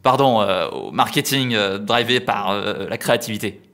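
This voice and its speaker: A man's voice: fairly low pitch, expressive, normal speed, a bit bright, faint music behind. A man playing a self-important corporate employee in a comic audio drama, explaining things stuffily in office jargon. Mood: condescending.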